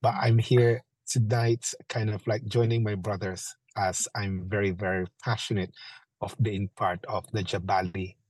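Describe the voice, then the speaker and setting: Man in his 40s, from the Philippines, husky, somewhat low voice, eager, fillers. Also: flat delivery, unhurried, a little mellow, no echo. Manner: eager, with filler phrases like "kind of, like". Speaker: guest, chief nurse. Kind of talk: online panel talk.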